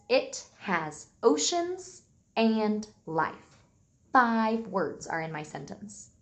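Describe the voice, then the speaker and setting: A woman teacher reading aloud and giving a simple lesson to young children, enunciating key words deliberately. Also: medium pitch. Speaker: early elementary school teacher. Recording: echoey room sound.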